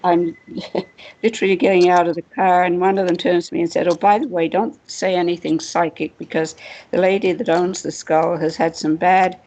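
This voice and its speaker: A woman's voice: fairly low pitch, flat delivery, moderate pace, darkish tone, low-quality recording. A woman from Wales with a British accent, a guest telling a personal story, chuckling often and acting out quoted voices. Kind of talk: anecdotal storytelling.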